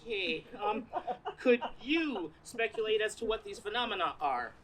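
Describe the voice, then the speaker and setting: A woman's voice: mid-range voice, lively intonation, normal speed, lightly acted. A woman playing a bumbling, nervous male radio reporter in a comic stage play outdoors, hesitant and stumbling. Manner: hesitant, stumbling, awkwardly filling time. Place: outdoors in a parking lot performance. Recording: outdoor stage with noise behind.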